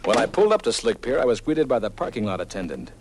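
An actor playing a wry private eye, narrating a hard-boiled detective parody with deadpan setups on an old comedy record. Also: male voice, medium pitch, fast talker, background noise, lightly acted. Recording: old comedy record, loud brassy music.

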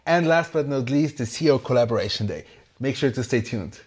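A man hosting a startup interview show, speaking with enthusiasm and some halting restarts. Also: medium pitch, lively intonation. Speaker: podcast host.

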